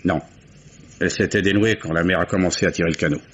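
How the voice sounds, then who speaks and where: An actor playing a gruff, guarded old oyster fisherman in a 1950s radio drama, talking in a folksy, grumbling way. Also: low-pitched, steady tone, fast talker. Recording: muffled old radio recording with faint hiss.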